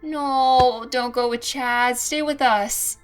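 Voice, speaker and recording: A woman playing a fawning, concerned schoolgirl admirer in an animated roleplay. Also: high-pitched, slow pace, clean tone, soft background music. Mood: pleading. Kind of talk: scripted animated roleplay acting.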